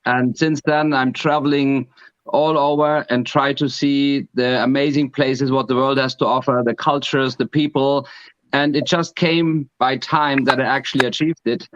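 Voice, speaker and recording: A middle-aged man from Germany, speaking as a panel guest in an earnest, measured way. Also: medium pitch, fairly even intonation, fairly bright tone, dull audio.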